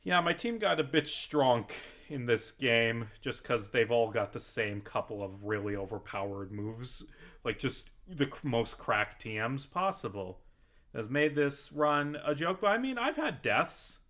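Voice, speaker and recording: Bright-voiced man rambling over gameplay, unhurried. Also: medium pitch, lively intonation. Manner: rambling, thinking aloud to himself and the game; casual swearing. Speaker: video game streamer. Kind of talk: casual gameplay commentary.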